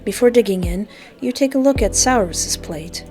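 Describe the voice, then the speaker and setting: A woman's voice: medium pitch, expressive, moderate pace. A woman reading a visual novel aloud expressively, with playful, giggly reactions. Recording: music behind. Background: game music.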